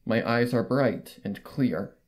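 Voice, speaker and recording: A reader voicing an anxious female pony who narrates a horror story in dramatic, expressive storybook style. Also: mid-range voice, slow pace. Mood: refreshed, uneasy. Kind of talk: dramatic scripted fanfic narration. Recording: music under the reading, played within video. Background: background music.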